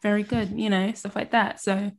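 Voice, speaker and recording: Halting, conversational young woman. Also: mid-range voice, level tone, gravelly. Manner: halting, restarts, laughs while telling. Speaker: guest sharing her faith story. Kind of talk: casual conversation.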